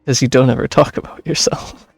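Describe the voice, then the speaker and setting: Husky, very creaky streamer voice. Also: mid-range voice, fairly even intonation, fairly quick, no room sound. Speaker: game streamer. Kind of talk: casual reaction commentary over a game.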